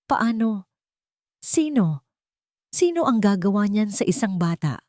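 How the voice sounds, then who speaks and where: A woman's voice: medium pitch, very animated, measured pace, darkish tone, no echo, studio quality, voice pushed up. A woman voicing a devastated young mother in an audio drama, pleading and shaken. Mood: shocked, anguished.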